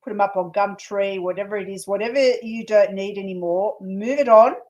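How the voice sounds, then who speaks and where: A woman's voice: mid-range voice, a little fast, dull audio. Laughing woman reading tarot. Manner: animated, laughs often, repeats names. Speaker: psychic reader, co-host. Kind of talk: casual live-stream chat and tarot reading.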